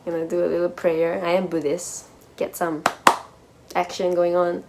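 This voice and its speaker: A woman's voice: fairly low pitch, flat delivery, moderate pace, heavy background noise. A young woman with a Malaysian accent, chatting casually to camera in a vlog and often correcting and teasing herself. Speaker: vlogger. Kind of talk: casual sit-down vlog chat. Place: hotel room.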